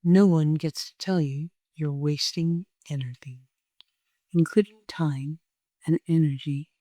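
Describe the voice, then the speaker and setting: A person leading a guided meditation in a gentle, soothing way, with long unhurried pauses. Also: mid-range voice, steady tone, no echo, studio quality.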